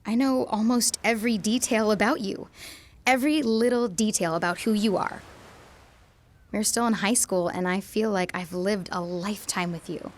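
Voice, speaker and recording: A young woman playing a lovestruck, self-doubting high school girl in an audio drama, with an emotional, searching delivery. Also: fairly even intonation, moderate pace, natural voice. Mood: tender, intense.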